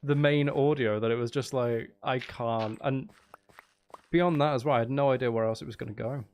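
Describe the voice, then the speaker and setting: A man with a gravelly edge, chatting casually to viewers as he plays a game, rambling and trailing off as he thinks aloud. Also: medium pitch, moderate pace, a little mellow. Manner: rambling, trailing off, muttering to himself. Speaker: streamer and audio creator chatting with viewers. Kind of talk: casual livestream gameplay chat.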